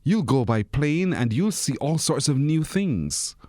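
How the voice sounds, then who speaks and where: A man's voice: a little high, very animated, measured pace, dark tone, dry recording, clean studio sound, voice pushed up. A man voicing a protective village father in a children's storybook, earnest and instructing. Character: boy's father, urgent, protective village farmer.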